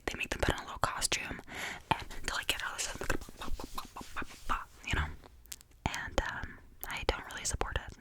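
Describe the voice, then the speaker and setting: A young woman from Canada, whispering to a close mic as she rambles casually with many fillers and restarts, in the style of ASMR. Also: a bit bright. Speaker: ASMR creator.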